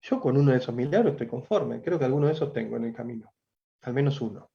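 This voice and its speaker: A Rioplatense man in his forties preaching on a livestream. He talks to his listeners directly in a casual, joking way, with a voice of ordinary pitch. The audio sounds muffled and lo-fi. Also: fast, gravelly, warm tone. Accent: Rioplatense Spanish. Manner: conversational, addresses listeners directly, with jokes. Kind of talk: livestreamed Bible teaching.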